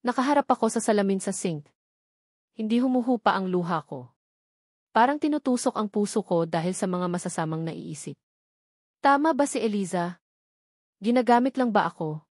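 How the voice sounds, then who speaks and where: A woman's voice: medium pitch, a little mellow, dry recording. Filipino woman narrating, dry close sound. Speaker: audiobook narrator. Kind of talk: scripted first-person romance novel narration.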